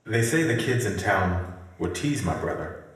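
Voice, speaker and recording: An actor playing a solemn, caring brother, speaking deliberately in a theatrical stage monologue. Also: male voice, on the low side, lively intonation. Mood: wistful. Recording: reverberant hall, stage performance.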